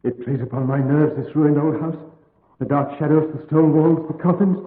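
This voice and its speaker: A man playing a nervy, haunted young gentleman in an old-time radio play, tense and dramatic. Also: a little high, fairly even intonation, normal speed, lightly acted. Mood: fearful unease. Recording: echoey, muffled narrow-band radio recording.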